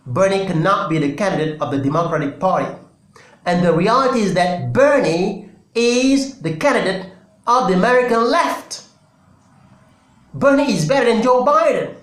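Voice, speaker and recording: A man with a Senegalese accent, Wolof his first language, speaks in a slightly raised register. He lays out his views earnestly and deliberately, pausing to restart his thoughts. The room around him is echoey. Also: fairly high pitch. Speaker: political commentary channel host. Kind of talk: solo political commentary.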